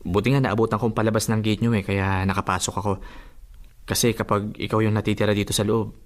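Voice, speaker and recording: A man's voice: on the low side, level tone, fast, natural voice. An actor playing a sweet-talking young boyfriend in a radio drama, coaxing and pleading his case affectionately. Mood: coaxing, apologetic.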